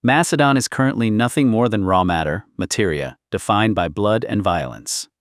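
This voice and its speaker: Man voicing a coldly resolute teenage boy philosopher, low-pitched. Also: expressive, moderate pace, dry recording, clean studio sound, close to an everyday voice. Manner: grandiose, emotionless declarations. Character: sixteen-year-old boy philosopher, coldly brilliant and resolute.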